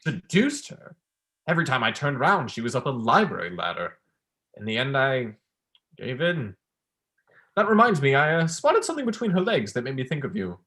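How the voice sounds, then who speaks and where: A man's voice: medium pitch, wildly swooping pitch, moderate pace, sharp tone, heavily acted. Young man acting a smug academic, swooping intonation. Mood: gossipy, cheeky. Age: young adult.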